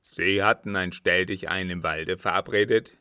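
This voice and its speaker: A man voicing a stern, suspicious army captain in an audiobook, gruff, haughty and accusing. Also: low voice, normal speed, pushed and bright, dry recording, clean studio sound. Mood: suspicious, scornful. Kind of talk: audiobook character voice.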